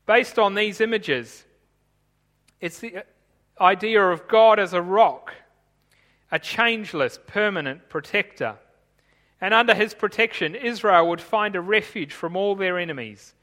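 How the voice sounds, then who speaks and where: A man with a high, ringing voice delivers a sermon from notes, steady and explanatory. His words trail off into the long echo of a big church hall. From Tanzania. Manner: measured, explanatory, reading from notes. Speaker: preacher.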